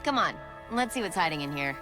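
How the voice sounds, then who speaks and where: An actress as a breezy, confident female adventurer in a sci-fi game. She is wry and casual, with a slightly low voice and lots of melodic movement, over clear music. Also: expressive, fairly quick, natural voice. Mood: playful, encouraging. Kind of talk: video game cutscene dialogue.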